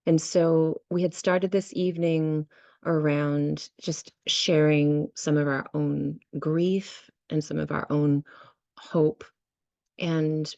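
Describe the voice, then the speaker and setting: A woman leading a guided visualization and meditation, speaking gently and unhurriedly in short phrases with many pauses. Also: sits low, no echo. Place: online video session.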